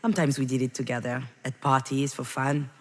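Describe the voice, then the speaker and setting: Sad guilty mom in a story game, deep female voice, halting and confiding, big echoey reverb. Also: flat delivery. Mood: gentle, reflective. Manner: halting, confessional, addressing someone directly. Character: grieving, guilt-ridden mother confiding in her lost child. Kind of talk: dramatic video game voice acting, intimate monologue.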